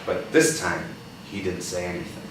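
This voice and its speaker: A man reading a picture book aloud to children, pausing to ask them questions in a patient, coaxing way and checking in with "right?". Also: low-pitched, level tone. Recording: echoey room, distant mic, background noise.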